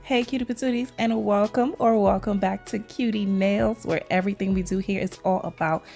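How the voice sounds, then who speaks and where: A woman's voice: mid-range voice, fairly even intonation, a little fast, creaky, music in the background. A woman from Trinidad doing a casual, chatty voice-over for her nail video, calling viewers "y'all" all the time, her voice still a little scratchy from a sore throat. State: recovering voice, slight throat tickle. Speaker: nail vlogger.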